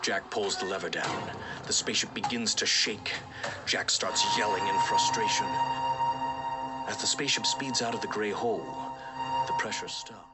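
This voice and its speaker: A man's voice: lowish voice, moderate pace, loud music behind the voice. A man delivering scripted story narration as the narrator of an audio drama.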